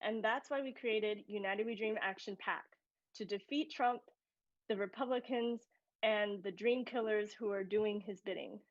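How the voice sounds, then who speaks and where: A woman's voice: medium pitch, moderate pace, no room sound. A woman co-hosting an activist live stream, reading an upbeat script. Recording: dry, muffled audio.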